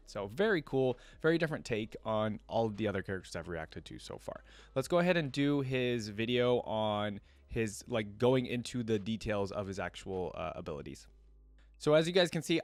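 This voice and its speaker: A man's voice: lowish voice, dry recording. A man hosting a reaction video, commenting casually, reading things out and talking directly to his viewers. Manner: conversational, addresses viewers directly. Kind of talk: casual commentary, reading on-screen text aloud.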